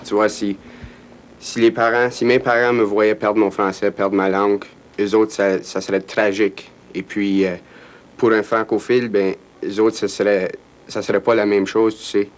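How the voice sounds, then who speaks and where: A man answering in an interview, earnest and halting with frequent restarts. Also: on the low side, flat delivery. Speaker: francophone interviewee.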